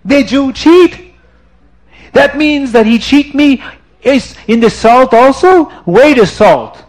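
A man voicing a gigantic, hot-tempered male customer who shouts in broken English, high and loud. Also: lively intonation, slow pace, exaggerated voice. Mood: suspicious.

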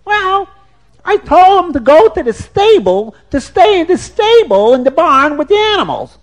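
A man voicing a shrill, panicky woman who shrieks warnings in a drawn-out way. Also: big pitch swings. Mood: anxious. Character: shrill frantic woman, a fierce man's wife.

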